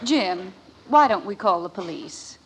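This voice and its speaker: A woman's voice: mid-range voice, animated, measured pace, very noisy, close to an everyday voice. An actress playing a practical, concerned suburban wife and mother in a 1950s American radio sitcom, coaxing and persistent. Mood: practical, insistent. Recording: vintage 1950s radio recording.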